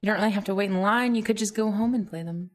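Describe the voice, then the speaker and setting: A woman co-hosting a casual podcast, friendly and quick to laugh. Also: medium pitch. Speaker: podcast co-host. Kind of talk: casual chat.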